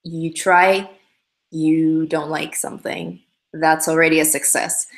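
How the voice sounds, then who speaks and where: A woman's voice: low-pitched, little pitch movement, slow pace, reverberant. A young woman whose first language is Russian, hosting a casual livestream and chatting upbeat through viewers' questions with many fillers and restarts. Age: young adult. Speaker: livestream host. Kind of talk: casual livestream chat answering comments.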